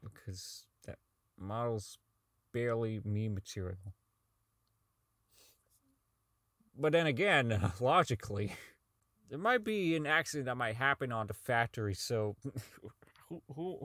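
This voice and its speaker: A man's voice: medium pitch, big pitch swings, a little slow, a bit bright, dry recording, clean studio sound. A man playing a socially inept, bitter company owner in an audio drama, rambling and stammering through his log as he slides toward madness. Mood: nervous, frazzled. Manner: stammering, rambling, self-loathing, foul-mouthed.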